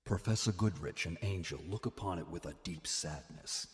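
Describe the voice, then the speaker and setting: A man's voice: sits low, normal speed. A man giving ominous, theatrical scripted narration for a horror drama. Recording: long echoing reverb, music behind.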